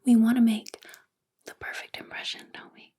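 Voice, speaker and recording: A woman playing a possessive, controlling female boss in a soft-spoken, whispery ASMR roleplay, coaxing and intimate. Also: on the high side, flat delivery, moderate pace. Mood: softly persuasive. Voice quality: breathy, whispery.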